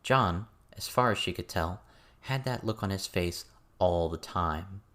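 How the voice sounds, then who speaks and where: A man's voice: deep voice, unhurried, fairly bright tone. A man reading a scripted story as an audiobook narrator.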